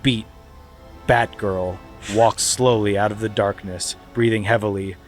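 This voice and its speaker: A man's voice: lowish voice, fairly even intonation, a little slow, faint music behind. A man hosting a podcast, reading a screenplay aloud in a mock-dramatic comedic way. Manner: mock-dramatic, breaking into laughter. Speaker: podcast host.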